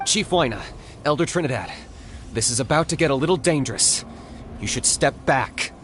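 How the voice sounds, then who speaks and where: A man voicing a cool, pragmatic young male hunter who talks calmly and gives clipped orders in a video game. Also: mid-range voice, moderate pace, a little hiss or hum, close to an everyday voice. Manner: calm and clipped, commanding in battle.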